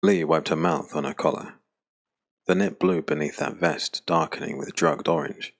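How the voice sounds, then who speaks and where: A man narrating a scripted dark fantasy audiobook with a deep, gravelly voice. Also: fairly even intonation, normal speed.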